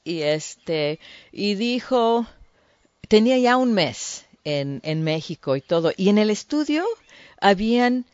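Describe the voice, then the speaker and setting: Mexican woman preacher, unhurried earnest sermon delivery, dry muffled recording. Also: mid-range voice, sing-song, darkish tone, dry recording. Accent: Mexican Spanish. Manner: earnest, clears throat, filler "este". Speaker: women's ministry speaker. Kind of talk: sermon to a women's group.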